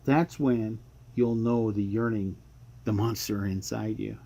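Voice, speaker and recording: A man's voice: lowish voice, steady tone, slow pace, gravelly, dark tone, exaggerated voice. Man acting a sinister, coaxing guide. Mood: persuasive, ominous. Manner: coaxing, knowing, theatrical. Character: smooth-talking sinister male guide to one's inner monster. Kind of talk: radio mystery drama acting.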